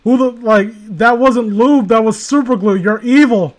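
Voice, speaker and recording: A man with a distinctly high-pitched voice reads a story aloud for laughs. He hosts the read-along and keeps breaking off into irreverent, mocking asides. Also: normal speed. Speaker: host reading a creepypasta aloud with friends. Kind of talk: comedic read-along with improvised riffing.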